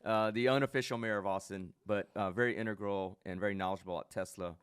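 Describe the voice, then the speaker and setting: A man's voice: lowish voice, fairly even intonation, fast, smooth, dry recording, studio quality. A man hosting a podcast, speaking in a casual, chummy, conversational way and often interjecting. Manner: interjecting, chummy, informal slang. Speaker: podcast host.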